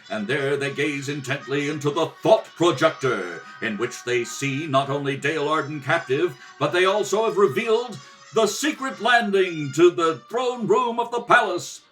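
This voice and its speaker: A man's voice: fairly high pitch, a bit bright, far from the mic, low-quality recording. A man giving theatrical, dramatic narration in the style of an old-time radio adventure serial. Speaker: narrator, rehearsal reader. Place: kitchen table at home. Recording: home recording, slightly off the mic.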